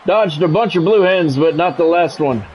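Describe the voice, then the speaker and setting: Higher-pitched male football play-by-play announcer. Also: moderate pace, a little mellow, a little hiss or hum, rough recording. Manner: short clipped play-by-play phrases. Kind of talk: American football game commentary.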